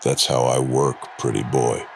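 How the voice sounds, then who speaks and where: A man's voice: very deep voice, fairly even intonation, measured pace, gravelly, a little hiss or hum, pitched below the performer's own voice, heavily acted. A man playing a proud, menacing veteran masked wrestler in an audio drama, speaking tersely.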